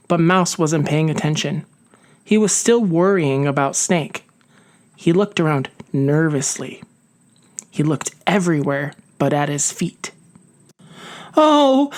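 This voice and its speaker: A woman reading a children's story aloud as an expressive narrator. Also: deep voice. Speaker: storybook reader.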